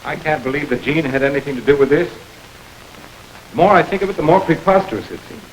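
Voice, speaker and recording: A man playing a firm, measured police investigator in a 1940s radio drama, recorded with old radio hiss. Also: fairly high pitch, animated, close to an everyday voice, rough recording. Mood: stern. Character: stern middle-aged male police investigator. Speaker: police lieutenant.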